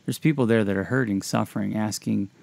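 A man's voice: fairly low pitch, fairly quick, gravelly, darkish tone, no room sound. A man hosting a podcast discussion about faith in a reflective, conversational style. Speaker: podcast host.

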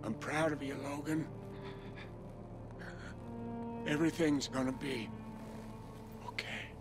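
A man's voice: on the high side, steady tone, normal speed, over music, natural voice. An actor playing a defiant, desperate military father in a video game, protecting his sons with strained, gritty emotion. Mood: tender pain. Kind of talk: video game cutscene acting.

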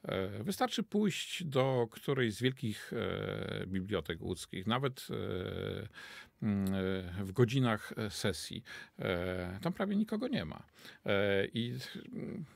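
A man in his sixties, a literature professor answering questions in a radio studio, pausing often with fillers and restarts. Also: lowish voice, big pitch swings, creaky, no room sound. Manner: hesitant, many fillers and restarts. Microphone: studio mic on desk.

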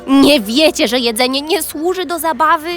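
A woman's voice: high voice, moderate pace, over music, lightly acted. A woman playing an officious young goat on school duty in a children's radio play, with a pompous, stern, theatrical manner. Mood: indignant.

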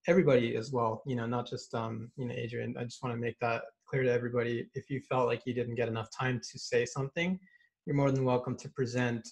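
A man in his thirties hosts an online meetup from a room at home, speaking into a webcam. He is upbeat and loose, filling pauses with "you know" and "um". His voice has a gravelly edge. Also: mid-range voice. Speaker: meetup organizer and host. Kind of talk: casual hosting and presentation. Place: indoor room with brick wall. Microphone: webcam on video call.

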